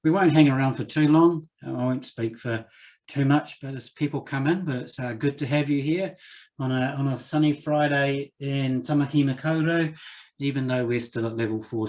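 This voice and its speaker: A man hosting an online session, opening it in a relaxed, chatty way with a few fillers over a video call. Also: mid-range voice. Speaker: host. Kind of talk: opening remarks at a webinar.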